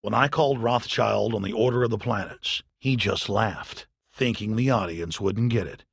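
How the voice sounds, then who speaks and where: A man with a gravelly voice reading scripted, accusatory documentary narration in a forceful way. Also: on the low side, moderate pace, dry recording, studio quality. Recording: voice-over with clear background noise.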